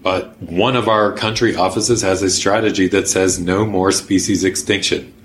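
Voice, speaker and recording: A man's voice: sits low, steady tone, moderate pace, slight background noise. A man explaining his thinking in a podcast conversation, earnest and pragmatic, who also leads a workshop. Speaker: workshop organizer.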